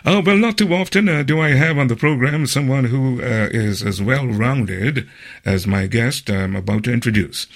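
A man hosting a radio talk program who introduces and interviews a guest in a measured way, with frequent "uh" fillers. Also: medium pitch. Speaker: radio talk show host.

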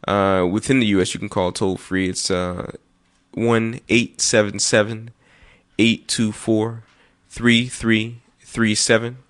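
A man hosting a call-in radio talk show, speaking with frequent pauses and restarts, slowing down to read out phone numbers. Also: lowish voice, flat delivery, a bit bright. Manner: many fillers and restarts, slow over numbers. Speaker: radio host.

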